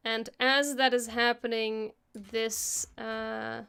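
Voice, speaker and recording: A woman hosting a live stream, casually talking through a software tutorial as she works, thinking aloud into a close microphone. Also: a little high, flat delivery, slow pace, gravelly, clear, resonant tone. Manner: casual, chatty. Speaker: host of an animation live stream.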